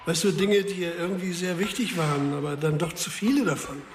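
An actor playing an ageing, dogged police detective in a radio crime drama, sometimes hesitant, always insistent. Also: male voice, sits high, a little fast, noisy background, close to an everyday voice. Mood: urgent, troubled. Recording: roomy sound with music behind.

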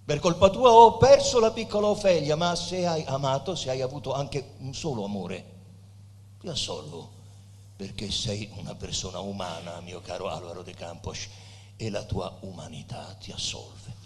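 An actor playing a dying, tender and wistful poet, declaiming emphatically in drawn-out phrases as in a stage reading. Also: male voice, fairly low pitch, expressive, a little mellow, slight background noise, lightly acted. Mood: forgiving. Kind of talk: theatrical stage reading, declaiming verse and narration. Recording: reverberant hall, live guitar behind. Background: Portuguese guitar music.

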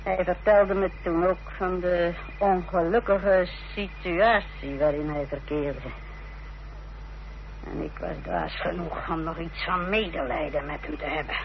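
A woman's voice: lowish voice, animated, unhurried, vocal fry. An actress playing an unrepentant village wife in a Dutch radio play, telling her story in a self-justifying way. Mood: matter-of-fact, confiding. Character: unrepentant, defiant village wife confessing her part in a fraud. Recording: dull old radio recording with hiss and hum.

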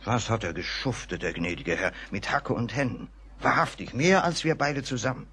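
An actor playing a superstitious, gossipy rural servant in a German radio play, dramatic and exclaiming. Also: male voice, medium pitch, normal speed, bright, forceful tone, a little hiss or hum, natural voice. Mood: agitated, awed.

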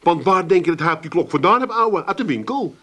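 An actor playing a streetwise, sardonic Amsterdam son with an insistent manner in a comic radio play. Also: male voice, high voice, expressive, a little fast, pushed and bright, full character voice. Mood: scornful explaining.

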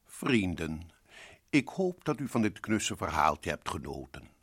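Radio horror host: macabre gentleman storyteller, very low, swooping intonation, sardonic and mock-cosy. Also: sing-song, measured pace, husky, dry recording, studio quality, full character voice. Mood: sly, mock-cosy. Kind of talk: theatrical radio horror hosting.

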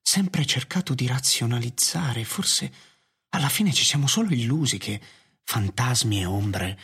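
A male actor playing a weary, reflective human master in a radio drama, doubting and deliberate, pausing between phrases. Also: mid-range voice, expressive, fairly bright tone, slightly rough, full character voice. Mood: pensive doubt. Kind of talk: literary radio theatre narration and acting.

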